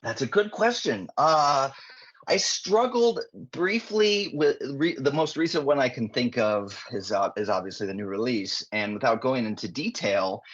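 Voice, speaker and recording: A man talking as a guest author in a podcast interview, earnest and animated, often restarting his sentences. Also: mid-range voice, measured pace. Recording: dull lo-fi audio.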